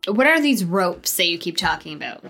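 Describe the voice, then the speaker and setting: A woman's voice: fairly low pitch, very animated, normal speed, creaky, a bit bright, husky, soft background music, heavily acted. A woman playing a nagging, cheeky young male recruit in a tabletop game, questioning and talking back. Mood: curious. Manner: pestering, sarcastic back-talk.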